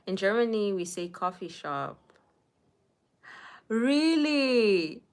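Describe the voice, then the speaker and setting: A woman's voice: medium pitch, sing-song, slow. A young woman from Zimbabwe hosting a casual livestream from home on her phone, greeting viewers by name and chatting with frequent laughs. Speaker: livestream host. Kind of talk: casual live chat reading viewer comments. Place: indoors at home.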